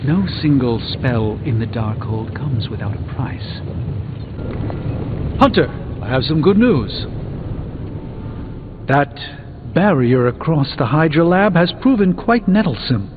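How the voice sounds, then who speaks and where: An actor playing a learned, composed middle-aged sorcerer in a video game, speaking with precise, formal, measured diction. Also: male voice, mid-range voice, big pitch swings, phone-quality sound, noisy background. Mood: grave, instructive. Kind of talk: video game character dialogue. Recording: band-limited game audio, long reverb, background music.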